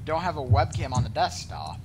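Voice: medium pitch, very animated, brisk, darkish tone, poor audio. A teenager joking around on voice chat while playing a block-building game, rambling and trading silly one-liners. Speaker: gamer. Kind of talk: casual voice-chat banter while gaming.

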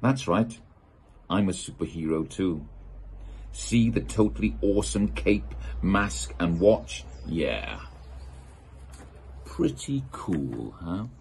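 A middle-aged man reads a children's picture book aloud with a low, husky voice that swoops up and down as he plays to young listeners. He takes his time over each phrase, playful and expressive. He sits outdoors in a garden gazebo, a camera at desk distance. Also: slow pace, long pauses. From United Kingdom. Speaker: storyteller reading a children's book.

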